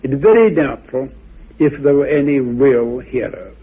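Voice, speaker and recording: A man giving reflective spoken commentary in a measured, deliberate way, phrase by phrase. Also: mid-range voice, breathy edge. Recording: phone-like, muffled old radio recording.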